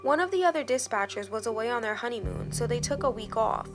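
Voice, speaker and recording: A woman narrating a scripted dramatic first-person story in a measured, expressive storytelling manner. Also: fairly high pitch. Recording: background music under the voice. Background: music.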